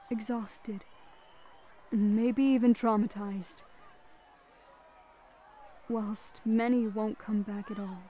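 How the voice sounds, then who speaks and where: A wise, stately pony queen, acted by a woman for a radio drama. She speaks slowly in a mellow, motherly voice with calm formality, over faint background ambience. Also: on the high side, slight background noise. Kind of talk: fan radio drama acting.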